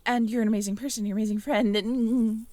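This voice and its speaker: A young woman in an intimate audio roleplay, talking softly to the listener, hesitant and stammering. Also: a little high, steady tone, quick pace, slight background noise. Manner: hesitant, stammering, soft-spoken. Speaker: audio drama performer speaking to the listener.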